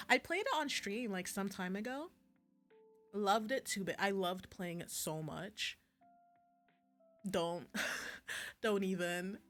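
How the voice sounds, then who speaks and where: A woman's voice: medium pitch, fairly bright tone. Rambling, gushing woman streamer over music. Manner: rambling, gushing, self-interrupting. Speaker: livestreamer playing visual novels. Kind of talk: casual chatty stream commentary. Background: background music.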